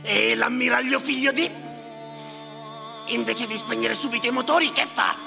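Bitter, gravel-voiced stage grumbler. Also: male voice, very high voice, fairly even intonation, moderate pace, exaggerated voice. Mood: outraged, forceful. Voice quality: raspy, gravelly. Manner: muttering to himself, sardonic, declamatory, repeating words obsessively. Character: bitter, cynical, grumbling male clerk tallying the drowned. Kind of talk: theatrical stage monologue with live music. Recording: reverberant hall, music behind voice. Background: music.

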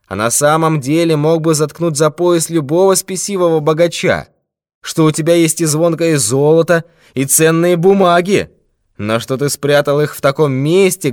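A man voicing a brutal, mocking red-haired robber who shouts threats in a raised, forceful voice. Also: on the high side, dry recording, studio quality, voice pushed up, natural voice.

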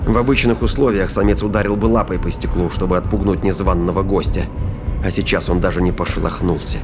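Casting: male narrator, lowish voice, scripted dramatic thriller storytelling, set over prominent music. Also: fairly even intonation, fast. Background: prominent music and sound effects.

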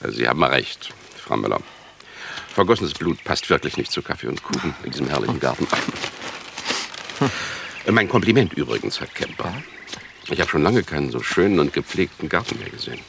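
Very deep, gravelly police inspector. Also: male voice, big pitch swings, noisy background, heavily acted. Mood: courteous, wry. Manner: measured, polite, insinuating. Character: probing police chief inspector, courteous, slyly ironic man. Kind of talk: German radio crime play dialogue.

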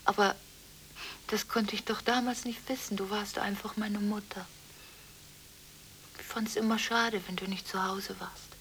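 An actress in a radio drama playing an emotionally torn daughter on the phone, halting and often near a whisper, on a hissy recording. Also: female voice, medium pitch, fairly even intonation, a bit bright, rough recording. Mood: tender, hushed. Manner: halting, stammering, pleading, breaking into whispers. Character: distraught, emotionally torn daughter on a late-night phone call. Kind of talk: 1980s German radio drama acting.

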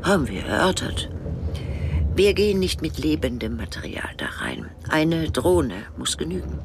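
An actor playing a confident, sardonic intelligence official in a radio drama, explaining in clipped, sometimes hushed phrases. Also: male voice, on the high side, big pitch swings, moderate pace, creaky, noisy background. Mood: businesslike.

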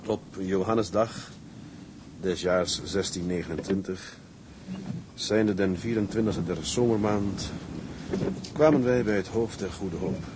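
Gruff, kindly seaman amid engine noise. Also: sits low, unhurried. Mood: solemn reading aloud. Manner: muttering to himself, homely seaman's talk. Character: gruff, kindly seaman tending a rescued castaway.

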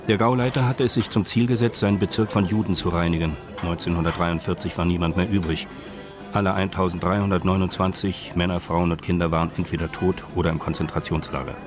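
An actor as the detached narrator of a radio crime drama, telling it in a measured, matter-of-fact way. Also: male voice, sits low, lightly acted. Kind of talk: scripted crime story narration.

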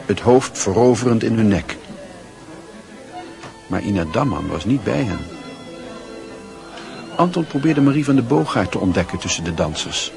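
A man acting as the measured literary storyteller of a Dutch radio drama. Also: lowish voice, lively intonation, vocal fry. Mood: reflective. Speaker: narrator. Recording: music and crowd murmur behind.

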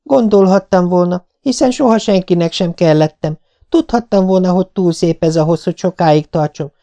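Anne, a man putting on a high dramatic girl. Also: creaky, warm tone. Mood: despairing. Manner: pleading, theatrical. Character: young orphan girl, dreamy, dramatic, tearful. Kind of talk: audiobook reading.

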